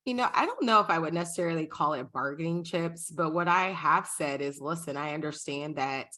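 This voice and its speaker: A woman's voice: low voice, sharp tone. A woman state representative answering a panel question on a video call, candid and emphatic in a conversational way.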